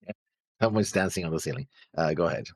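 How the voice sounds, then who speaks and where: A man's voice: deep voice, animated, fast talker, no room sound, studio quality. A man co-hosting an anime show, chatting casually in a laid-back way. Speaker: co-host of an anime reaction show. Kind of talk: casual chat.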